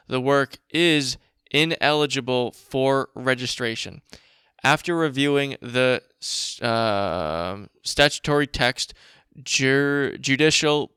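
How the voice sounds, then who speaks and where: A man hosting a casual commentary show, reading documents aloud and remarking on them in a blunt, informal, profane way, with a slight rasp. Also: medium pitch, bright, forceful tone, no room sound, studio quality. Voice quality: raspy. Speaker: show host.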